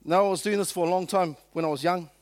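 A man's voice: high-pitched, fairly even intonation, quick pace. A man born in Samoa, preaching a sermon and telling stories, joking with the congregation. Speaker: pastor.

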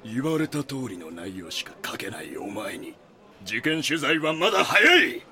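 A man's voice: mid-range voice, sing-song, measured pace, pushed and bright, full character voice. A man playing a stern, curt, commanding magazine boss in a Japanese voice drama, with noise behind him. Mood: stern, dismissive. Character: stern, gruff male magazine boss scolding his junior reporter.

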